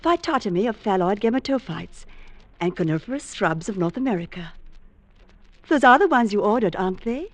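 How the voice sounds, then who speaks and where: An actress playing a lonely, coy bookshop spinster in an old-time radio drama, gushing politely. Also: female voice, mid-range voice, animated, fairly quick, lightly acted. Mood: polite, pleased.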